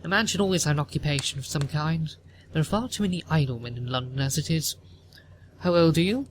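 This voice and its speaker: A haughty older upper-class matriarch from a period audio play, acted by a man. The voice sits fairly high for a male performer and interrogates with pompous disapproval. Noticeable noise runs behind the recording. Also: fast, natural voice. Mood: imperious, interrogating. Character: haughty, imperious upper-class Victorian mother, older woman. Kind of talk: theatrical audio drama acting.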